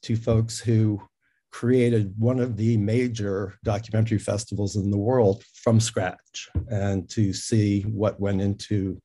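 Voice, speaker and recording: A man with a fairly low, husky voice hosts a casual conversation. His tone is dark and relaxed, and he talks haltingly, working out his questions as he goes. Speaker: interview host.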